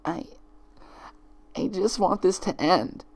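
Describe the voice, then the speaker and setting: A man's voice: high voice, animated, slow pace, creaky, pitched above the performer's own voice, heavily acted. A man voicing a guilt-ridden young female unicorn from a pony story, faltering and pleading in despair. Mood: tearful despair.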